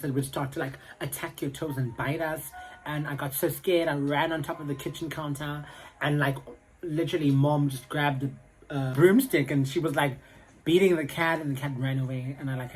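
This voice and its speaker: A man's voice: on the high side, fairly even intonation, moderate pace, darkish tone, poor audio. A young man with a South African accent, chatting casually to camera in a rambling way full of fillers. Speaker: vlogger.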